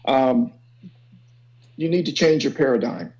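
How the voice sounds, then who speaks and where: A man's voice: medium pitch, expressive, measured pace, a little mellow, poor audio. A middle-aged man, a panelist, giving earnest, emphatic advice and checking in with his listeners as he goes. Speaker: community college educator, panelist.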